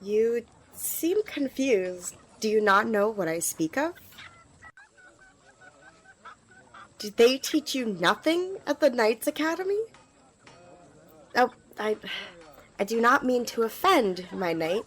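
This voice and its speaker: A woman's voice: a little high, very animated, unhurried, slight background noise. A woman playing an eager, apologetic young squire in an ASMR fantasy roleplay, rambling and stammering as she speaks to the listener. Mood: polite, eager. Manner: rambling, hesitant, stammering, giggly. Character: eager, flustered young female magic-wielding squire, apologetic.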